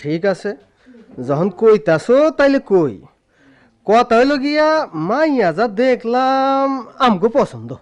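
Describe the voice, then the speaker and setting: A man's voice: very high voice, big pitch swings, unhurried, exaggerated voice. An actor playing a shrewd village uncle of a groom in a radio play, pressing his points in a rural Bangladeshi accent. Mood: smooth, insistent. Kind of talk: Bengali radio play acting.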